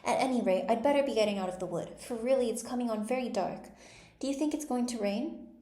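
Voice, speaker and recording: A woman's voice: high voice, expressive, fairly quick, a little mellow, reverberant, voice pushed up. A woman voicing a thoughtful, polite little storybook girl who is earnest and easily indignant. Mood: timid, polite. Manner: earnest, prim.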